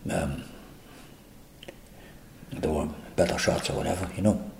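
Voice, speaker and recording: An older man with an Irish accent, answering interview questions in a halting, reflective way with many restarts. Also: deep voice, fairly even intonation, creaky, a little hiss or hum. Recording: echoey room.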